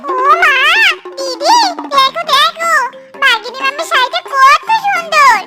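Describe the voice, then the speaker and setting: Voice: very high voice, measured pace. A performer voicing a gushing, envious younger fox wife in a comic cartoon, sweet-talking with exclamations. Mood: envious admiring. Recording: children's cartoon music behind the voice.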